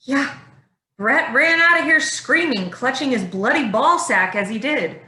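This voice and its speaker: A woman's voice: sits high, lively intonation, unhurried, pushed and bright, exaggerated voice. A woman playing a gleeful, mocking troublemaker in a staged play reading, recorded in an echoey room. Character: gleeful, taunting, cheeky female troublemaker.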